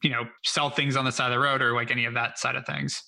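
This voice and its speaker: Male podcast host, eager interviewer, rapid and tense-edged delivery. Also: mid-range voice, flat delivery, vocal fry, bright, forceful tone. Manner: eager, upbeat questioning. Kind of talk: interview hosting.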